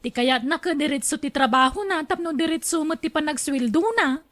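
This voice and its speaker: A chatty housewife, played by a woman talks in a conversational way in a radio drama. Her voice sits clearly high. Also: brisk, a bit bright. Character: chatty wife of a working man. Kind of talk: Ilocano radio drama acting.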